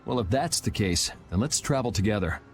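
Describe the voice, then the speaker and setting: A man's voice: lowish voice, animated, a little fast, faint music behind. A man playing a laid-back young swordsman in a video game, speaking in a dry, matter-of-fact way. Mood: calm, wry.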